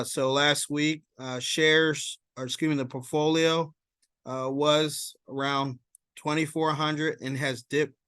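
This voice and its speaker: A man giving informal market commentary as a stock vlogger, explaining in a halting way and checking in as he goes. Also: a little high, bright, forceful tone, clear, resonant tone, no echo, studio quality.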